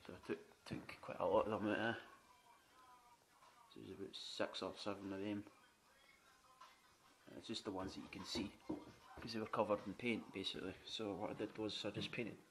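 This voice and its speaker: A chatty man with a low-pitched voice walks through his half-stripped flat. He talks casually, swears freely and keeps asking "you know what I mean". The handheld camera mic catches faint clicks and handling noise. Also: moderate pace, pauses often. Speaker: home renovator. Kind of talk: walkthrough tour narration. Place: indoors in an empty flat under renovation.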